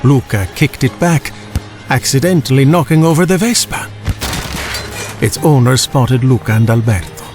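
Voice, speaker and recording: A man's voice: medium pitch, moderate pace, over music. A man reading a children's storybook as a scripted audiobook narrator.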